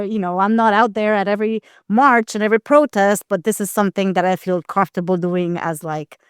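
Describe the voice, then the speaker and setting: A woman's voice: medium pitch, clean tone, no echo, studio quality. A middle-aged woman from Costa Rica answering interview questions conversationally, in a candid, reflective, explanatory way. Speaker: guest, anthropologist and expert witness.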